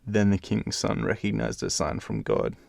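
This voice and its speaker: Male Australian bedtime storyteller, heavy vocal fry, expressive, recorded close and dry. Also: sits low, moderate pace, dry recording. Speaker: storyteller, channel host. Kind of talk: expressive bedtime fairytale narration with casual asides.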